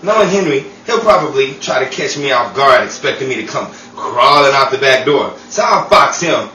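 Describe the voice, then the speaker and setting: A man's voice: medium pitch, expressive, normal speed, bright, forceful tone. Man acting sheepish 1940s schemer, echoey room. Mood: cocky, scheming. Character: Mason, sheepish 1940s schemer making excuses. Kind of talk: 1940s old-time radio comedy acting. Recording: echoey distant room, noisy audience ambience.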